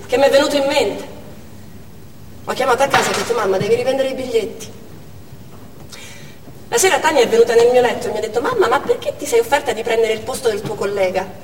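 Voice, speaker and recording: A woman playing a warm, self-questioning working mother in a conversational theatrical monologue. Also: on the high side, natural voice. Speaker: stage actor. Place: indoor theatre stage, recorded from afar. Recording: distant, echoey hall with faint noise.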